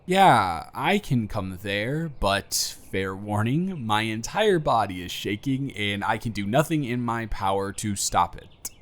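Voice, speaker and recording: A man's voice: lowish voice, expressive, a little slow, few pauses. A man playing an earnest, anxious young man confessing love to a close friend in a hesitant, vulnerable way, in an intimate audio roleplay. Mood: nervous, respectful. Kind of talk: emotional roleplay audio acting, intimate monologue to the listener.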